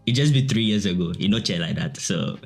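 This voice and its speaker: A young man with a Ghanaian accent answers questions in a relaxed, chatty way, often breaking into laughter. His voice sits fairly low. Soft music plays faintly behind him. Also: brisk. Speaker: guest, digital artist. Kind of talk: casual interview chat.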